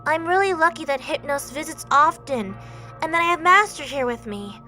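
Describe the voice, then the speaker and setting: A high, delicate, feminine-sounding young male god acted by a woman in an audio drama. He is timid and apologetic, speaking with innocent, lonely earnestness and small hesitations. Music plays under the voice. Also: normal speed, pushed and bright. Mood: wistful, lonely. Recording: background music bed.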